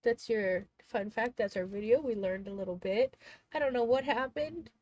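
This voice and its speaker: A woman's voice: a little high, moderate pace, a little mellow, dry recording. Streamer, young woman, stuffy nose, rambly casual chat, desk mic at home. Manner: rambling, chatty, thinks aloud. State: congested, sneezing.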